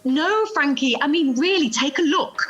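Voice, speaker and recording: A woman playing a cheeky, high-voiced, animated friend. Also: moderate pace. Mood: urgent. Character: cheeky, bossy, wine-loving woman friend, outspokenly loyal. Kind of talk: amateur radio play acting. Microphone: video call. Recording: dull home video-call recording with music.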